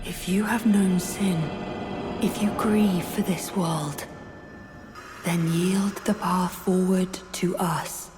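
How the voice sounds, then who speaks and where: Otherworldly demigod, fantasy game, soft, solemn, breathy, unhurried, long reverb, faint music. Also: female voice, on the low side, steady tone. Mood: solemn, entreating. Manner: slow, breathy, formal pleading.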